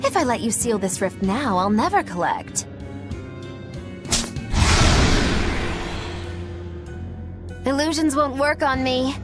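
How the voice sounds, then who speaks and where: A woman's voice: high-pitched, very animated, normal speed, over music. A woman playing a cool, sardonic, scheming witch with a wry, theatrical delivery in a game cutscene. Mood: cool defiance. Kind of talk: dramatic game cutscene acting.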